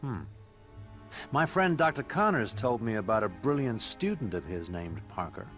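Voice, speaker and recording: Guy as genial scientist in a game, mid pitch, lively, music playing behind. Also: normal speed, lightly acted. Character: proud, genial male scientist devoted to his work. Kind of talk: video game voice acting.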